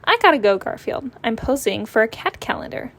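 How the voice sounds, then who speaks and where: A cute, vain little boy kitten from a cartoon, played by a woman. The voice is high and chirpy, with a self-satisfied air. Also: sing-song, brisk, fairly bright tone, heavily acted. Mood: perky, vain.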